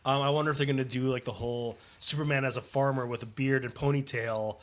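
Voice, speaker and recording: A man's voice: medium pitch, steady tone, quick pace. A man co-hosting a podcast in casual chat, phlegmy from a cold, rambling with fillers. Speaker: podcast co-host.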